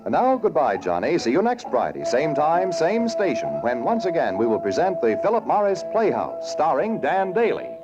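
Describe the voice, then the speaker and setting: Animated American male vintage radio pitchman. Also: normal speed, few pauses, over loud music. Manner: emphatic, repetitive sales delivery. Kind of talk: 1940s scripted radio advertising. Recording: dull 1940s radio recording.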